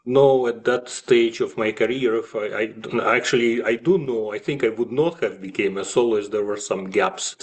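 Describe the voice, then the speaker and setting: A man from Russia with a Russian accent, telling his story as an interview guest in a halting way with frequent pauses. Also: medium pitch, steady tone. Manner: halting, with frequent pauses and slight grammatical slips. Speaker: guest, classical violist and music professor.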